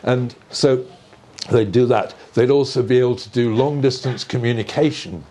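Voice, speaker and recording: An older man giving an informal explanatory talk in a discursive, conversational way, with hesitant pauses and small asides. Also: mid-range voice, fairly even intonation, warm tone. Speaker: speaker on earth energies and ancient sites. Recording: live talk with clear background noise.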